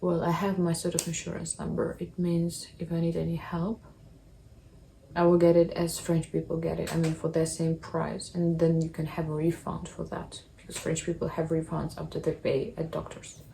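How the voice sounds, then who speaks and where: A young woman, chatting casually to camera in halting English, often restarting her sentences. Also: sits low, monotone, vocal fry, dark tone, slight background noise, rough recording. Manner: halting, apologetic non-native English with restarts. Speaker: vlogger. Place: apartment.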